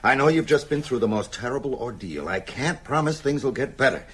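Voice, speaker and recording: Husky, solemn old mentor. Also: male voice, medium pitch, animated, fairly quick, a bit bright. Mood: grave, urgent. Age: older. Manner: urgent, solemn instructing. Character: grave, ailing old male vampire-hunting mentor. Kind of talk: radio drama acting.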